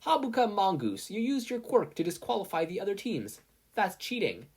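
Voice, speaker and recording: A woman's voice: mid-range voice, big pitch swings, moderate pace, darkish tone, slightly rough, voice pushed up. A woman voicing a bold, commanding female hero referee in an audiobook-style story, projecting like an announcer.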